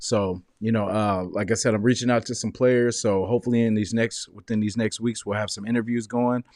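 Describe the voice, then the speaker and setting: A man's voice: lowish voice, fairly even intonation, a little fast, a little mellow, dry recording, clean studio sound. A man hosting a fan podcast, laid-back and thanking his guests. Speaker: podcast host. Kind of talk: casual podcast sign-off.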